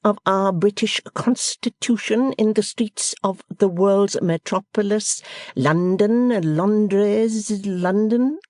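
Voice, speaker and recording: A narrator voicing a pompous English gentleman who speaks slowly and condescendingly, as if to a deaf child. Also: mid-range voice, no room sound, studio quality, voice pushed up, lightly acted. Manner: slow, loud, over-explaining as if to a child. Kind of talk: audiobook character voice.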